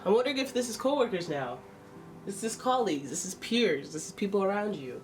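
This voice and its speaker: A woman's voice: medium pitch, animated, brisk, far from the mic, music in the background. A woman with a stuffy nose giving a casual, chatty tarot reading, exclaiming and thinking aloud as she turns the cards. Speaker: tarot reader.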